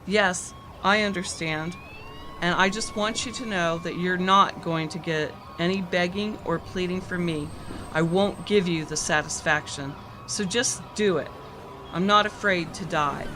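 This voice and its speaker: A woman's voice: fairly low pitch, a little slow, noisy background, natural voice. A woman playing a brave, defiant captive in an audio drama, earnest and emotional.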